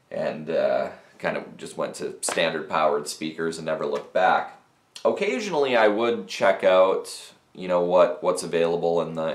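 A man in his thirties casually reviewing audio gear to camera, talking technically with fillers and restarts, recorded in a small office. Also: low voice. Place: small cluttered office with speakers and mixers. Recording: roomy small-office echo.

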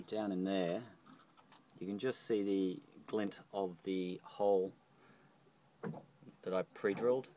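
A middle-aged man with a very deep voice walks through a boat door repair in a casual, halting way, full of ums and restarts. He records on a handheld phone in the boat's cockpit, with a faint hiss behind him. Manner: hesitant, many ums and restarts. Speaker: boat owner showing a repair. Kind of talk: casual how-to walkthrough. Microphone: handheld phone camera.

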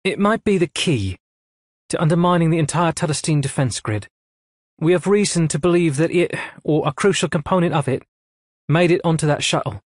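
Man doing a female admiral, cool, clipped, authoritative, higher voice, dry close sound. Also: expressive, fairly quick, no echo. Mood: commanding.

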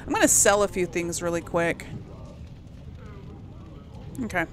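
A woman's voice: lowish voice, animated, a little slow, slight background noise. A woman giving casual let's play commentary, thinking aloud and musing to herself as she plays. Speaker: gaming YouTuber.

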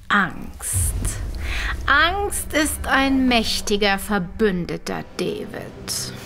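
An actress playing a cold-blooded scientist who is a hired killer, gloating softly and theatrically in an audio drama. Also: female voice, mid-range voice, very animated, vocal fry, slight background noise, exaggerated voice. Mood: smug gloating. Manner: slow, smug, theatrical gloating with soft menacing phrasing.

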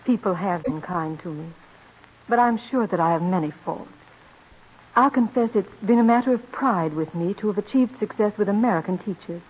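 A woman's voice: medium pitch, normal speed, husky, lightly acted. A woman playing a young American prima donna in a radio drama, modest, proud and earnest, speaking politely and formally. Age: young adult. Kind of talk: old-time radio drama acting. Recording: muffled vintage radio broadcast.

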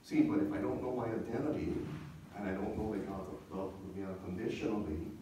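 A male pastor teaches from a church stage in a conversational sermon style, often checking in with questions. His voice is soft-edged and mellow. It is picked up from a distance, with heavy room echo and a little background noise. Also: fairly low pitch, fairly quick. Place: church sanctuary, speaking from the stage. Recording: echoey church hall, distant mic, faint noise.

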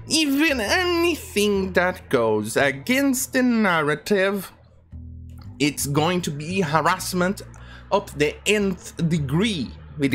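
Indignant non-native man ranting, lively pitch. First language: not English. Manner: indignant, rambling, many fillers. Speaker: commentary channel host. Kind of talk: ranting video commentary. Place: home room with bookshelves.

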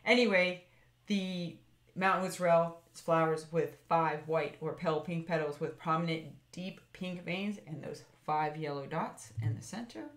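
A woman's voice: lowish voice, a little slow, echoey room. A woman hosting a foraging channel, narrating a plant slideshow in a chatty, meandering way with fillers and self-corrections. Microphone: voice-over over slides.

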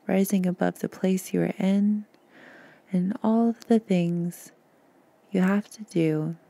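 A woman guiding a meditation in a soft, soothing, gentle voice, breathy at times, with unhurried pauses. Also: medium pitch, dry recording. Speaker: podcast host and meditation guide. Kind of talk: guided meditation narration.

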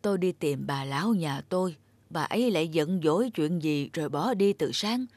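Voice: medium pitch, expressive, moderate pace, a little mellow, no room sound, close to an everyday voice. An actor playing a mild, independent elderly grandfather in a cartoon drama, speaking hesitantly over music. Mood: weary.

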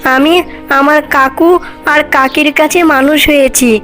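A woman's voice: sits high, fairly even intonation, takes it slowly, over music. A woman voicing a timid, mistreated orphan village girl in a cartoon fairy tale, plaintive and childlike.

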